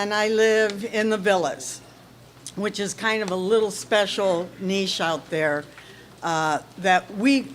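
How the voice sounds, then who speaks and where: A woman in her sixties giving a candidate speech at a homeowners' meeting, projecting her naturally loud voice in a plainspoken way. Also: mid-range voice, slight background noise. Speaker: board candidate.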